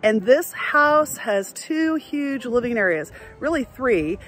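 A woman's voice: a little high, lively intonation, takes it slowly, fairly bright tone, faint music behind. A middle-aged woman presenting a house as a real estate agent, upbeat and promotional, speaking outdoors into a phone. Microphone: phone at arm's length.

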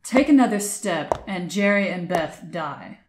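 A woman's voice: fairly low pitch, lively intonation, a little slow, slight background noise. A woman playing a sinister, gloating monster cult leader, menacing and scornful, in a fan-made audio drama. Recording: echoey, with loud music behind.

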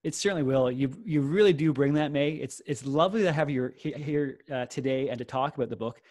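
A man's voice: mid-range voice, a little mellow, dry recording, studio quality. A man in his forties hosting a podcast interview over a video call, talking eagerly with many fillers. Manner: fast, filler-heavy, eager. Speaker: podcast host. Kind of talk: friendly interview.

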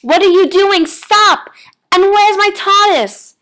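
A woman's voice: on the high side, a little slow, fairly bright tone, soft background music. A woman voicing a slender, defiant, easily annoyed alien man in a story, with an emphatic, insistent delivery. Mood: alarmed, angry.

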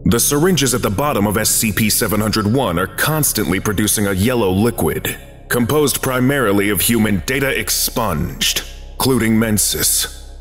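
A man narrating a scripted archive briefing in a formal, measured, clinical manner. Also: lowish voice, expressive, fairly bright tone. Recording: long reverb, music behind. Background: music.

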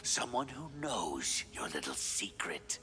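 An actor voicing a sadistic, darkly playful masked killer in a video game, gravelly and breathy, mocking as he savors his threats. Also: lowish voice, sing-song, slow pace, sharp tone, faint music behind, exaggerated voice. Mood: menacing. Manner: mocking, menacing, savoring words. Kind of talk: video game villain dialogue.

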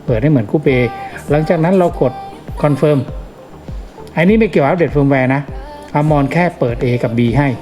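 A man's voice: a little high, moderate pace, a little mellow. A man giving a casual hands-on tutorial, instructing informally step by step and repeating key points. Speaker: product demonstrator giving a tutorial. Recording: music playing behind the voice. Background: clearly audible background music.

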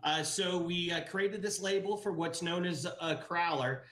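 A man's voice: sits high, a little slow, fairly bright tone. Chatty middle-aged man on a roomy webcam. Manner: enthusiastic, many fillers and restarts. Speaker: brewery guest. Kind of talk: casual interview over video call. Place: indoor office room. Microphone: video call webcam.

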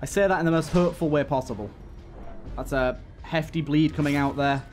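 A man with a fairly high voice commentates casually on a game as he plays. He speaks to the characters directly and repeats himself, with music playing underneath. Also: a little fast, a little mellow. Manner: talks to the game's characters, repeats phrases. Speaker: gaming host. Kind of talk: casual let's play commentary.

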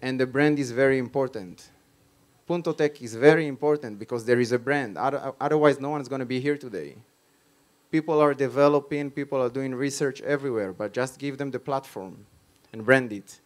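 A man's voice: mid-range voice, brisk. A man in his thirties with an Israeli accent, giving a live conference presentation in emphatic, short, repeated phrases into a handheld microphone. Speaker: conference speaker on startups and innovation. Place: stage at a tech conference.

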